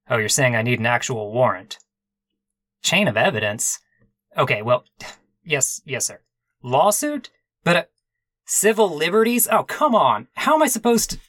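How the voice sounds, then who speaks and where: A crisp, forward male voice acted as a hard-boiled but bumbling noir detective, recorded close and dry. He is deadpan and earnest, with a wry edge, as in a comic radio mystery. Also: mid-range voice, moderate pace, long pauses, no echo. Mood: flustered, placating.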